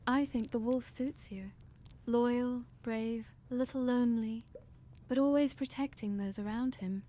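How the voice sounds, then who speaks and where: A woman playing a gentle, affectionate schoolgirl in a fan audio drama, with a soft, tender manner. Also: a little high, steady tone, unhurried, close to an everyday voice.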